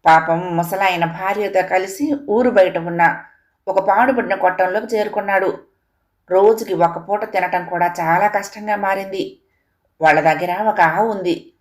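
A woman's voice: mid-range voice, normal speed. A woman narrating a scripted moral folk tale as a storyteller.

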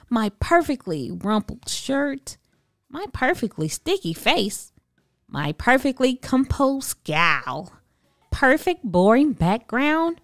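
A woman's voice: on the high side, a bit bright, dry recording, clean studio sound. A woman reading a children's story aloud expressively, drawing out key words with theatrical emphasis. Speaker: storybook narrator. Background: background music.

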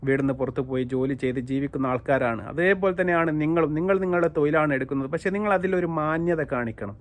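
A Malayali man with a Kerala accent delivers opinionated online commentary in a fairly high voice. He is emphatic and repeats his points, speaking directly to his viewers. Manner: emphatic, repetitive, addressing listeners directly. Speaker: YouTube commentator.

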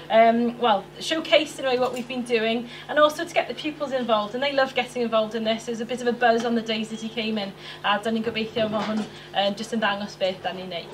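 A woman teacher presenting at a conference in a chatty way. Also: on the high side. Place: conference hall. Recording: distant in a hall, with hum.

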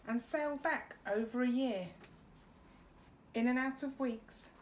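A middle-aged woman reading a children's bedtime story expressively, with dramatic pauses. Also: high-pitched, darkish tone, slight background noise, poor audio. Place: small room at home.

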